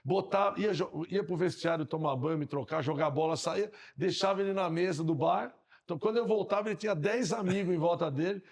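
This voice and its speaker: Gravelly São Paulo man telling stories. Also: sits high, brisk, few pauses. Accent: Paulistano Brazilian Portuguese. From São Paulo, Brazil. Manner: relaxed anecdotal talk with slang. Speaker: podcast host. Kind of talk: casual podcast chat.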